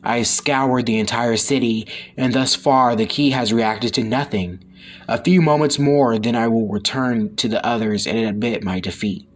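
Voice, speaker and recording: A man's voice: medium pitch, fairly even intonation, moderate pace, a little hiss or hum, lightly acted. A man voicing a logical android superhero from a comic, speaking in formal, precise phrases. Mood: resigned. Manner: stiffly formal, precise wording.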